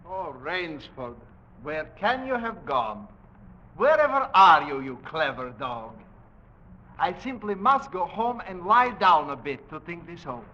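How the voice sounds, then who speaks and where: A man's voice: a little high, big pitch swings, unhurried, noisy background. An actor playing a suave, aristocratic big-game hunter who taunts his prey with theatrical, mocking courtesy in a 1940s radio drama. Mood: mocking, musing. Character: suave, gloating aristocratic male big-game hunter, cruelly playful villain. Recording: dull vintage 1940s radio recording.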